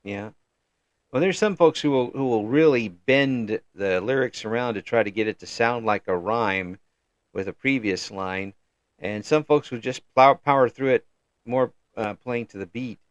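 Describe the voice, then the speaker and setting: A man's voice: mid-range voice, normal speed, dry recording, lo-fi sound. Chatty middle-aged male interview host. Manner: chatty, frequent restarts and affirming "yeah"s. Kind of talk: casual interview.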